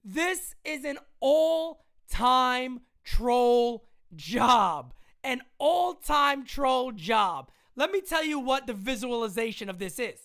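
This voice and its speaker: An excitable man hosts a live stream. He drops to a mutter and then bursts into yelling. His voice sounds strained from the shouting. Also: very high-pitched, takes it slowly. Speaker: live-stream sports show host. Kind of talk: casual live-stream commentary.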